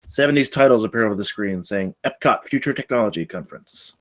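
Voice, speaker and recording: A man reads screenplay directions at a table read. He moves along quickly in a fairly low voice, as a scripted narrator. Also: animated, fairly bright tone. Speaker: narrator reading stage directions.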